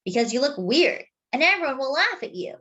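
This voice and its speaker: A woman's voice: high-pitched, big pitch swings, a little fast, bright, forceful tone, voice pushed up, exaggerated voice. Woman doing a blunt, mocking older boy. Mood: dismissive. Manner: blunt, dismissive.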